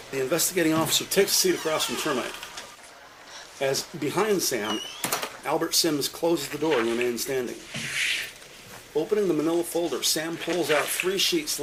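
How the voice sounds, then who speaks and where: A man reading scripted, deliberate and detailed narration for an audio-described police drama. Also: on the high side, noisy background.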